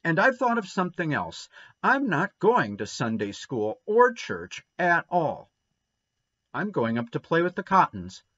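A man's voice: a little high, huge pitch swings, measured pace, fairly bright tone, dry recording, voice pushed up, heavily acted. A man reading aloud and voicing a defiant, rebellious young boy who talks indignantly and boastfully, on a dull lo-fi recording.

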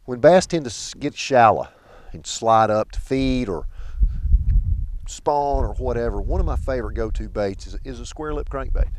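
A middle-aged man hosting a fishing vlog outdoors on a boat, explaining his lures casually and breaking into excited outbursts when a fish bites. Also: fairly low pitch, slight background noise. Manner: chatty, excited outbursts while fighting fish. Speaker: pro bass angler hosting a fishing vlog. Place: outdoors on a bass boat on a lake.

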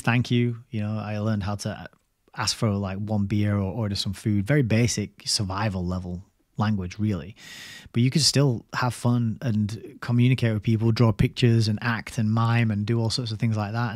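A man's voice: fairly low pitch, a little mellow, dry recording. Chatty English man, dry close mic. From England. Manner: rambling, chatty, laughs often. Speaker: livestream host reading a book. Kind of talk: casual livestream chat with viewers. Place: home study lined with bookshelves. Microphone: studio mic on boom arm.

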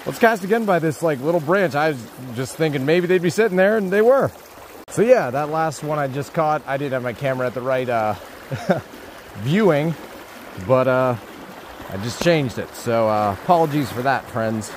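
Young man, fishing vlogger, upbeat chatty, rushing stream noise behind, action camera mic. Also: a little high, lively intonation. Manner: upbeat, talks to the fish and viewers, chuckles often. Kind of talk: casual running commentary while fishing. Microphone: action camera, chest or handheld. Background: rushing water.

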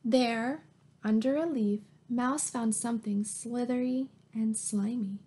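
A woman reads a children's picture book aloud in a high, slightly husky voice. She takes her time and speaks to young listeners in an encouraging, teacherly way, prompting them as she goes. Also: steady tone, slow pace, mellow. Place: small plain room indoors at home. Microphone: webcam at arm's length.

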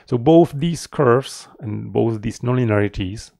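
A middle-aged man giving an explanatory technical presentation as a researcher, in a measured, slightly halting manner, close to the mic. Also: medium pitch, creaky, mellow, no echo. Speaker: researcher presenting a conference paper.

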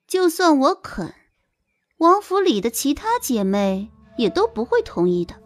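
A woman voicing a haughty, menacing young concubine in an audio drama, sneering and scolding with drawn-out threats. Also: high voice, sing-song. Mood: condescending. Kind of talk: audio drama character acting.

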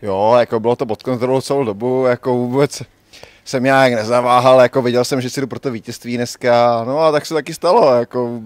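A man's voice: medium pitch, fairly even intonation, no room sound. A young man who won the tournament, boasting in a post-match interview in a bowling alley, speaking into a handheld mic. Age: young adult. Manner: cocky, boastful, lots of fillers. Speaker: tournament winner. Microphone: handheld mic held by interviewer.

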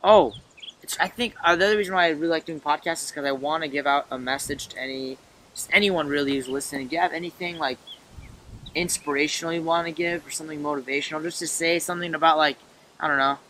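A young man hosting a casual podcast, loud and rambling as he chats with his friend. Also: on the high side, fast, slight background noise, low-quality recording. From California. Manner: loud, rambling, self-described loud voice. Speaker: podcast host. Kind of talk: casual chat.

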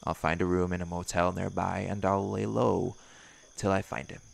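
A man's voice: low-pitched, little pitch movement, moderate pace, vocal fry. A man voicing a brash, menacing werewolf in an audio roleplay, speaking in a blunt, curt, sardonic way. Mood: cold, threatening. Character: brash, menacing male werewolf hunting his quarry. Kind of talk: scripted audio roleplay acting.

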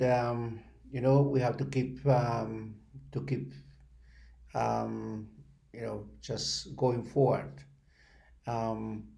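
An older man giving halting, deliberate remarks on a video call, with many pauses. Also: medium pitch, gravelly, a little mellow. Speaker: network spokesperson. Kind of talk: webinar remarks. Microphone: video call, computer microphone.

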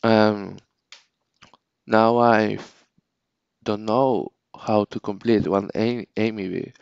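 A man whose first language is Spanish, talking casually to his channel's viewers in halting English, often restarting and searching for words. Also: lowish voice, fairly even intonation, vocal fry, clear, resonant tone, no room sound, lo-fi sound. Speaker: channel owner. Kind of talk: casual unscripted vlog talk.

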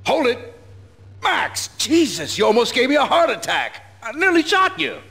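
Anxious policeman, high voice, very animated, urgent, video game. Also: moderate pace, gravelly, full character voice. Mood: alarmed. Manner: urgent, exclaiming. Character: jittery, alarmed male cop, hero's anxious partner.

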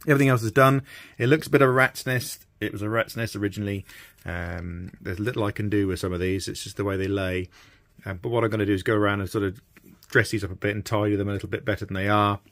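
A man's voice: on the low side, steady tone, fast talker, a bit bright, no echo. A middle-aged man giving informal, hesitant workshop commentary as he presents a repair. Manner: informal, hesitant, thinking aloud. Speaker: radio restorer presenting a repair video. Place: small indoor workshop at a bench.

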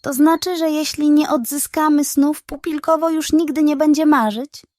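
A woman's voice: sits high, fairly even intonation, normal speed, a little mellow, no echo, pitched above the performer's own voice, natural voice. A woman voicing a brave, earnest young male kitten, the determined hero of a children's storybook. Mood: worried.

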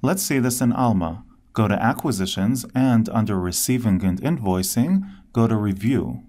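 A man narrating a scripted step-by-step software tutorial in a clear instructional manner. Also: on the low side, animated, moderate pace.

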